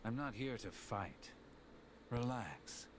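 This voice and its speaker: Stern aloof young villain, measured slow speech, game drama, noisy background. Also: male voice, medium pitch, animated. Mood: cool, needling. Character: cold, aloof young male villain, former friend. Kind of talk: dramatic video game voice acting.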